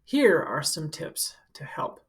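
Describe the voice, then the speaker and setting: A woman's voice: deep voice, very animated, slow. A woman working as a school counselor, reading a picture book aloud to children and adding casual, instructive explanations in simple words. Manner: simple, instructive phrasing aimed at young children.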